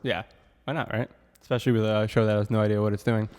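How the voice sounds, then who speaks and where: A man's voice: fairly low pitch, fairly even intonation, fast talker, a little mellow, long reverb tail. A young man co-hosting a casual podcast, joking and rambling with plenty of fillers. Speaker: podcast co-host. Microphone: studio microphone.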